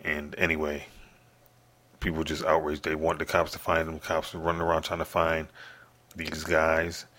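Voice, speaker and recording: A man hosting his own podcast from an apartment, speaking softly in a halting, rambling monologue full of restarts and fillers. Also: very deep voice, completely flat delivery. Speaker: podcast host.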